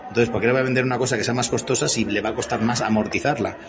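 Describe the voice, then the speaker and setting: A man's voice: medium pitch, fairly even intonation, racing. A man in his fifties from Spain with a Spanish accent, explaining things conversationally as an interview guest in a wordy, hesitant manner. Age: 50s. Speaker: interview guest, company CEO.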